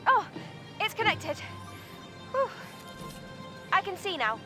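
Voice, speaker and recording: An actress playing a bubbly, curious young female tech companion in a video game, perky and expressive. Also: very high voice, slow pace, music in the background, heavily acted. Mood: relieved, cheerful. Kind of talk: English video game voice acting.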